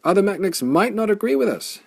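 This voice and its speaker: A man's voice: high voice, big pitch swings, brisk, creaky, a little mellow, breathy edge, no echo, pitched above the performer's own voice, heavily acted. A man voicing a sullen, wary male city dweller who speaks grudgingly in an audiobook.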